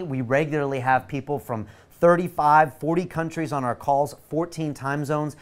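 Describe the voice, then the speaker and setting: A man from California, hosting an online masterclass and leading a motivational guided visualization with eager, coaxing energy. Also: a little high.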